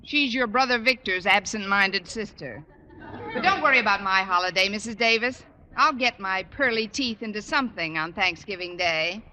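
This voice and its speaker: An American actress playing a wry, quick-witted single English teacher in a 1950s radio comedy, with dry, wisecracking delivery. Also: female voice, mid-range voice, lively intonation, pushed and bright, some chatter behind. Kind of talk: 1950s radio sitcom acting. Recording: muffled old radio recording, hissy, with clicks.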